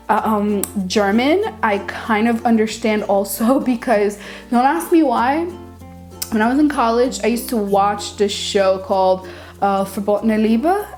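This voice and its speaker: A woman's voice: medium pitch, a little mellow. A young woman from Haiti whose first languages are French and Creole, chatting casually and informally to viewers as a channel host. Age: young adult. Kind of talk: casual chat to camera, answering viewer questions.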